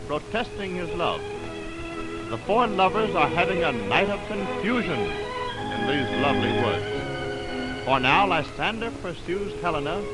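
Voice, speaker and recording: A man's voice: fairly high pitch, low-quality recording. A man giving scripted narration for a 1920s radio drama, in an old broadcast recording. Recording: 1929 radio aircheck from optical film. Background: loud music.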